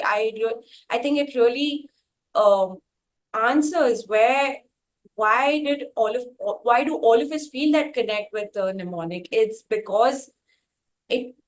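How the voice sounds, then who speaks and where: Higher-pitched woman interviewer, effusive and halting. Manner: effusive, halting with repeated emphasis. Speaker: interviewer, host. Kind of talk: conversational interview.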